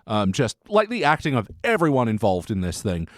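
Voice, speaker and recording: A man with a medium-pitched voice talks as a podcast co-host in casual review chat. He is emphatic and sweary, and the recording is very dry. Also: huge pitch swings, brisk, no room sound.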